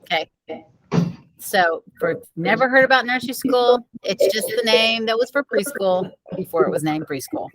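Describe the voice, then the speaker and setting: A woman with a fairly high voice talks casually. She explains things informally, like a teacher pausing during a read-aloud. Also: expressive, crowd noise, poor audio.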